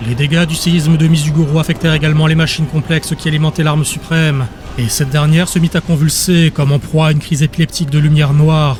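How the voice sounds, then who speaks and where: A man reading dramatic scripted narration as an audiobook narrator. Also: fairly high pitch. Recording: music behind the voice.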